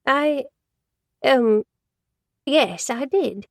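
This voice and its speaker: A gossipy older office lady who can't stay on topic, prattling on and passing judgment, put on by a woman reading the story. The voice sits a little higher than the narration, with a gravelly edge, close and dry. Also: animated, extremely slow, no room sound, heavily acted. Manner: chatty, rambling, easily sidetracked.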